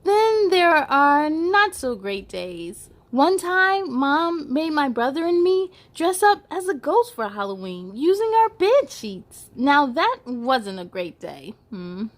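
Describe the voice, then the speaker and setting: Female children's read-aloud host, high voice, animated and playful storytelling delivery. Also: slow pace, hardly any pauses. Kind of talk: expressive read-aloud for children with chatty asides.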